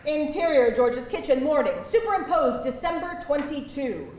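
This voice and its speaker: A woman's voice: high voice, normal speed, a little hiss or hum. A woman narrator reading screenplay stage directions with theatrical emphasis at a live table read. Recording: echoey hall with loud audience.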